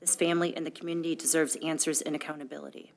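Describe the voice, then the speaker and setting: Lower-pitched woman reading formally. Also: level tone, rapid-fire, creaky. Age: middle-aged. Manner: formal, matter-of-fact. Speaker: village clerk. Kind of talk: reading official documents aloud. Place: indoor board meeting room, at a desk.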